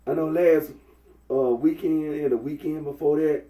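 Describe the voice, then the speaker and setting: A young man from with a head cold, talking through a face mask in a rambling, halting monologue full of fillers. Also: on the high side, fairly even intonation, warm tone. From Memphis, Tennessee. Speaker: vlogger. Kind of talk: casual Facebook Live monologue. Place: indoors at home. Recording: echoey room, mic at a distance.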